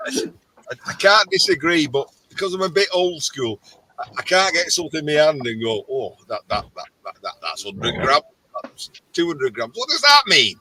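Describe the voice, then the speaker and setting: A man telling stories in a relaxed, self-deprecating way during a live group call. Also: fairly high pitch, moderate pace, slight background noise, rough recording. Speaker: live chat participant. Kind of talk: casual group chat.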